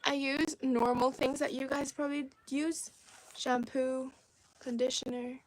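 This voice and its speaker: A woman from China whose first language is Cantonese, chatting casually on a livestream into a phone, reading viewer comments aloud and often dropping to soft half-whispered asides. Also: sits high, flat delivery, unhurried, a little hiss or hum, rough recording. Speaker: livestream host.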